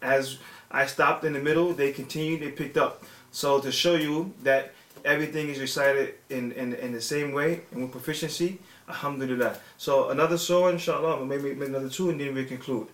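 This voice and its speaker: Halting man talking in an echoey room. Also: mid-range voice, steady tone, a bit bright. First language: English. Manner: halting, many fillers and restarts. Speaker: father and religious teacher. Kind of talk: informal teaching talk with children.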